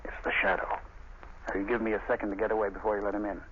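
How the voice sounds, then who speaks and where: A man's voice: fairly low pitch, level tone, very fast, phone-quality sound, close to an everyday voice. An actor playing a cruel, scheming male gang boss who taunts his captive, in 1930s radio acting. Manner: taunting, mocking. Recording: scratchy 1930s radio broadcast.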